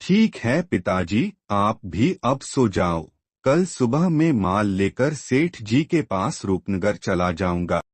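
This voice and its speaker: A man's voice: fairly low pitch, very animated, slow pace, slightly rough, no room sound, heavily acted. A man playing a caring, respectful young adult son in a cartoon moral story. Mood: concerned.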